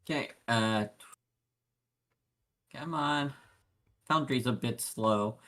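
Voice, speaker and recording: A man's voice: medium pitch, takes it slowly, a bit bright. A man chatting casually as a player in a tabletop game, often hesitant and asking questions.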